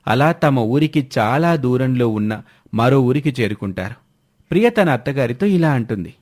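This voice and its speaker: A man's voice: mid-range voice, animated, normal speed, darkish tone. A man narrating a scripted moral family story as the storyteller.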